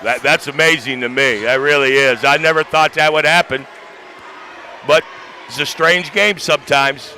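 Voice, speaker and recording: A man's voice: on the high side, normal speed, bright, forceful tone, slight background noise. An American man giving conversational basketball analysis as a color commentator, in a plain-spoken, folksy way. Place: courtside in high school gymnasium.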